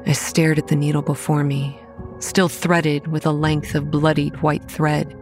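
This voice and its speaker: A woman's voice: deep voice, level tone, unhurried, a little mellow, faint music behind. An actress playing a sarcastic, determined young woman who tells her own story in a horror audio drama. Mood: grim, wry. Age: young adult. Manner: wry, first-person storytelling.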